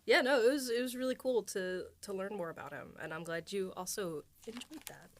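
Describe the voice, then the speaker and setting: A woman's voice: medium pitch, fairly quick, no echo. Chatty woman hosting a podcast. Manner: chatty, many fillers and light laughs. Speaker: podcast host and writer. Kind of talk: casual podcast chat.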